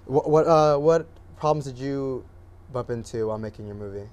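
A man hosting a film program, asking questions in a casual, halting, informal way. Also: mid-range voice, a little mellow, highs cut off. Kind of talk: casual interview.

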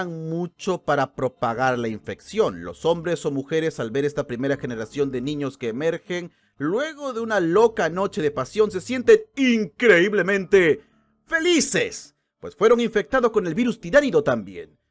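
A man's voice: a little high, big pitch swings, moderate pace. A man narrating a lore video in a playful, mock-dramatic way. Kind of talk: comedic scripted narration.

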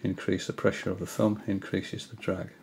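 An older man at home giving a hesitant, casual tutorial, often pausing with fillers as he shows his reels. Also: deep voice, little pitch movement, creaky, a little mellow, a little hiss or hum. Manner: hesitant, many fillers and restarts. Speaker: angling hobbyist giving a tutorial. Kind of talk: casual explanatory demonstration. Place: indoors, small room at home.

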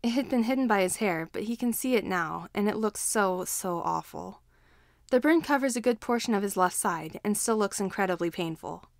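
Female story narrator, medium pitch, expressive scripted reading in a dry, echo-free space. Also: moderate pace, gravelly. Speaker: fan fiction narrator.

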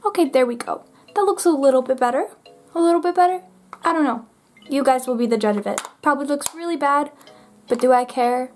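A woman's voice: sits high, a little fast, faint music behind. A teenage girl chatting through her makeup routine at her bedroom vanity, bubbly and full of "like", getting over a cold. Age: teens. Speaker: beauty vlogger. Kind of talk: makeup tutorial chat.

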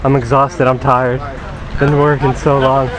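A man's voice: mid-range voice, little pitch movement, measured pace, poor audio. A man chatting casually as a vlogger. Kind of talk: casual chat. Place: outdoors among a crowd. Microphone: handheld camera mic. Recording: very loud outdoor crowd.

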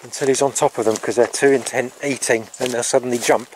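A middle-aged man with a medium-pitched voice chats casually as he films himself outdoors. His talk is halting and rambling, like an informal farm vlog. The handheld camera picks up clear background noise. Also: steady tone. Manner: halting, breaking off to talk to animals. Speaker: farm vlogger. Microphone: handheld camera at arm's length.

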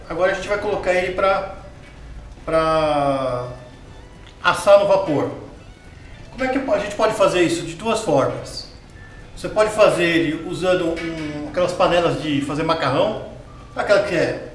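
A man with a Brazilian Portuguese accent hosts a home cooking lesson, chatty and patient, asking the viewer what comes next as he works. His voice sits fairly high. It rings around a hard-walled kitchen and is picked up from a distance. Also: expressive, moderate pace, long pauses, a little hiss or hum. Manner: chatty, explains step by step, asks the viewer questions. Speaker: cooking show host. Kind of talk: casual cooking demonstration. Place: home kitchen with tiled wall, indoors. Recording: roomy kitchen sound from a distance.

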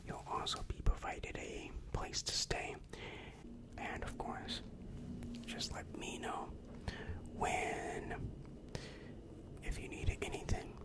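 A young man whispering an ASMR roleplay as a helpful, polite demon counselor, soft, hesitant and reassuring, close on the microphone. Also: slow. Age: young adult. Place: small dim room indoors. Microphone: large microphone right in front of the mouth.

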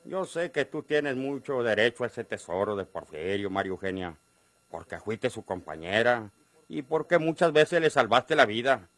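Dry-sounding wheedling Mexican country schemer. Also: male voice, mid-range voice, very animated, moderate pace, a bit bright, no room sound. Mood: conciliatory. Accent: northern Mexican. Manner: coaxing, folksy. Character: wheedling, insistent rustic schemer after treasure. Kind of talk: vintage Mexican radio drama acting.

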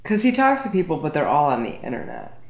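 A woman's voice: deep voice, huge pitch swings, creaky, echoey room. A woman in her thirties chatting on a couch at home, chiming in with teasing remarks. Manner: teasing, chimes in with quick remarks. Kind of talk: casual chat. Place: living room couch at night.

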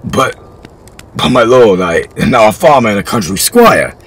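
A man reading aloud, voicing a stern middle-aged father, once a sailor, who pleads and then shouts in rage. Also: mid-range voice, big pitch swings, normal speed. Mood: pleading.

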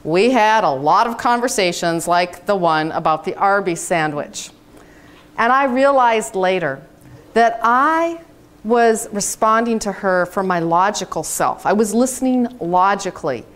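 A woman in her fifties from the United States giving a storytelling keynote speech in a conference room, animated and dramatic. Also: mid-range voice, measured pace. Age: 50s. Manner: animated, dramatic, gesturing on stage. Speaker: keynote speaker. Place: hotel conference room, indoors.

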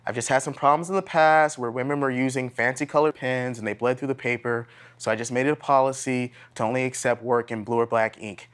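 A man's voice: mid-range voice, steady tone, a little fast, few pauses, fairly bright tone, clear, resonant tone, natural voice. An American man in his thirties playing a blunt, earnest graduate teaching assistant instructing a class in a casual, plain-spoken way. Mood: earnest, instructive. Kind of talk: classroom instruction, acted dramatization. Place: classroom lab, indoors.

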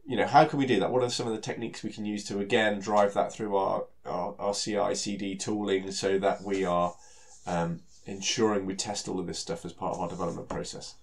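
A British man with a heavy cold talks through a technical presentation, his voice nasal and stuffy. His voice sits on the lower side, and he speaks in a relaxed way, leaning on fillers and repeating words as he goes. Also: brisk, few pauses. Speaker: solution architect giving a technical talk.

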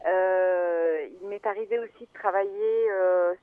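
A woman's voice: medium pitch, fairly even intonation, unhurried, no room sound. A woman, a film editor being interviewed, explaining her craft in a hesitant, thoughtful way with many fillers and restarts, heard over a phone line.

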